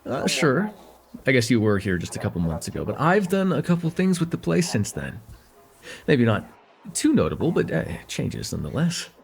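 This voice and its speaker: Man acting as a gravelly, easygoing young guy chatting. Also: medium pitch, wildly swooping pitch, moderate pace. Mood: casual, a bit awkward. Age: young adult. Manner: hesitant, rambling, chuckling, easygoing. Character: friendly, forgetful young man hosting a close friend at home. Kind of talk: slice-of-life ASMR roleplay, casual intimate chat to listener.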